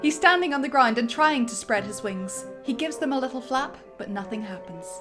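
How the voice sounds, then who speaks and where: Lively woman reading stage directions. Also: fairly high pitch, brisk, over music. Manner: lively, breaking into laughter. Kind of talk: dramatic script reading.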